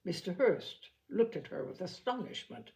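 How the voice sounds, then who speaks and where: Expressive woman narrator with animated pitch. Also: normal speed. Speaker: audiobook narrator. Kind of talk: expressive literary storytelling.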